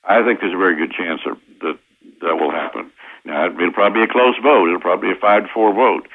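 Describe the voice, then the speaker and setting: An American man giving halting, opinionated explanations as an interview guest over the telephone. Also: low voice. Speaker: interview guest, constitutional lawyer. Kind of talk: interview answers, explanatory political talk. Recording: tinny narrow-band phone line.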